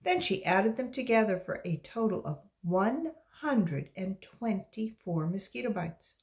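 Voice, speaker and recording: Animated, unhurried woman reading a children's story. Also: mid-range voice. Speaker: volunteer storybook reader for children. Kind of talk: read-aloud children's story narration.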